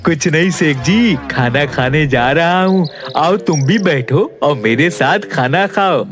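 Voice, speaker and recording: A woman's voice: low voice, animated, slow pace, over music, pitched below the performer's own voice. A woman voicing a bewildered, plain-spoken village man in a cartoon, puzzled and protesting. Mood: friendly. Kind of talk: Hindi cartoon dialogue.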